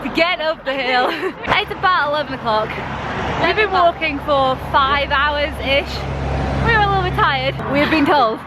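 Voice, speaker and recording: A woman's voice: sits high, expressive, moderate pace, crowd noise, noisy background. A woman from England chatting to camera in an upbeat way while walking through a city. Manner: chatty, upbeat, laughs often. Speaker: vlogger. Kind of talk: casual vlog chat to camera. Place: walking outdoors in a city, later at home.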